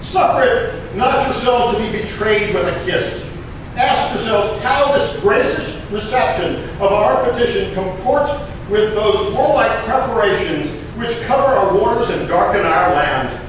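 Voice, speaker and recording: Man acting as high-voiced patriot orator, echoing distantly. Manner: declamatory, emphatic, deliberate pauses. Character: impassioned 18th-century American patriot statesman orating. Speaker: living historian performer. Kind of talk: dramatic historical oratory reading. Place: historic courthouse room. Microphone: distant microphone. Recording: very echoey room, distant mic, noisy.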